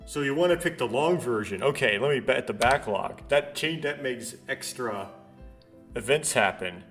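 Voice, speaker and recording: A man doing a casual let's play, haltingly thinking aloud and reading visual novel text out. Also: mid-range voice, expressive, fairly bright tone. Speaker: let's player. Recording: background music behind voice. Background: game music.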